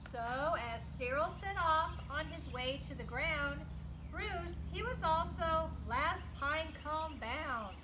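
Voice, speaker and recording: A woman reading a picture book aloud to children in an animated, teacherly way, with emphatic delivery and explanatory asides. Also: high-pitched, slow, noisy background. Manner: animated, emphatic, teacherly, asks listeners questions.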